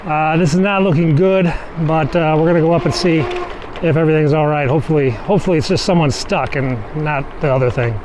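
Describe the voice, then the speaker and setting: A man's voice: a little high, quick pace. A man giving casual on-the-go narration as a vlogger, outdoors in the city on a handheld camera. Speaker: vlogger filming street incidents. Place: outdoors on city streets and a bike path. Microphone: handheld pocket camera. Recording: noisy outdoor city street with traffic. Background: traffic and city noise.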